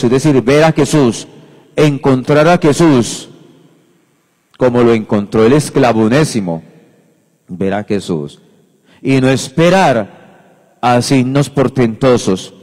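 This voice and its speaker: A priest in his fifties delivers a homily from the lectern, speaking slowly and with emphasis, often repeating himself. He holds the microphone in his hand. His voice sits at an ordinary pitch for a man and carries a long echo through the church. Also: a little mellow. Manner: unhurried, emphatic, repeats phrases, gestures broadly. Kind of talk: homily at Mass. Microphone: handheld microphone. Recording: reverberant church hall.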